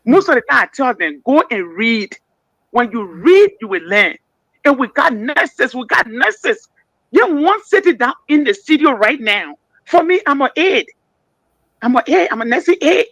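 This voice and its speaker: A woman with a Liberian accent speaks as a caller on a radio talk show. Her voice sits very high and sounds bright and forceful, with a dry, close sound. She talks emphatically, stumbling and repeating words as she pushes her point. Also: big pitch swings, a little slow, no room sound, rough recording. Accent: Liberian English.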